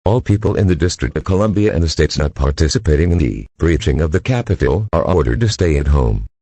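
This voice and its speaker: Man as a stern emergency alert announcer, extremely low husky voice, formal, measured, impersonal, dry sound. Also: warm tone, no echo, exaggerated voice. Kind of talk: scripted emergency broadcast announcement.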